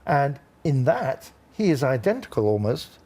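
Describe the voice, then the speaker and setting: A man's voice: medium pitch, very animated, a little slow, dark tone. An older British man giving expert commentary on a news panel, with wry, blunt, colloquial asides. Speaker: former ambassador, studio guest.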